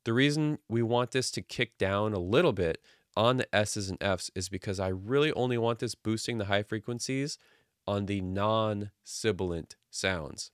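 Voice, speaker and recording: A man in his thirties casually walking through his microphone filter settings from a small quiet home studio, relaxed and jokey. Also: fairly low pitch, normal speed, no room sound. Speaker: streaming audio tutorial host. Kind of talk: casual screen-share tutorial. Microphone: studio dynamic mic on boom arm.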